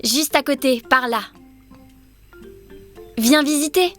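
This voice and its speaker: High-voiced friendly young mermaid. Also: female voice, slow, faint music behind. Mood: welcoming. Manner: welcoming, polite. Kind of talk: children's cartoon dub.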